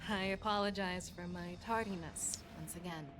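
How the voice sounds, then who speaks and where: Low-voiced, solemn woman warrior general, acted. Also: noisy background, close to an everyday voice. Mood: formal. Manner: solemn, formal, measured. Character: stern, resolute woman warrior general, quietly remorseful. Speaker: game character voice actor. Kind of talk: dramatic game voice acting. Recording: music behind the voice.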